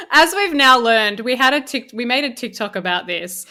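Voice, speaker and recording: A woman's voice: a little high, very animated, moderate pace, bright, forceful tone. A woman telling stories casually as an interview guest. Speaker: podcast guest, audio drama co-creator. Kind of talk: casual chat, storytelling.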